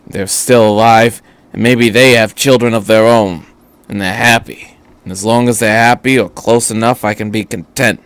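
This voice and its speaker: A man voicing a weary older factory worker in a story reading, plain-spoken, halting and reflective. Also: fairly low pitch, steady tone, a bit bright, clean tone, no echo.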